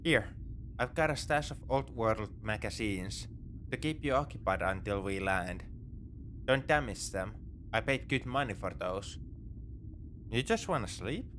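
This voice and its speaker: A man's voice: lowish voice, lively intonation, a little slow, a bit bright, slight background noise. A man playing a wry, morally grey space pirate in a sci-fi ASMR roleplay, with a conversational, nonchalant, dryly teasing delivery. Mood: dry, easygoing. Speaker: audio roleplay performer.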